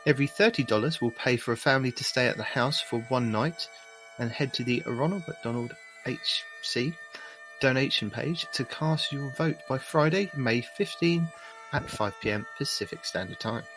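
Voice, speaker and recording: Male co-host, mid pitch, plain steady read, light music faint in the background. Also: moderate pace, rough recording. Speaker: podcast co-host. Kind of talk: reading charity announcement.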